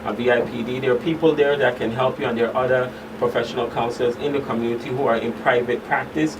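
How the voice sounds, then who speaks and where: A man explaining things in a measured way as the expert guest on a radio talk show. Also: medium pitch, steady tone. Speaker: guest expert, professional counselor. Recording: distant mic, clearly noisy background.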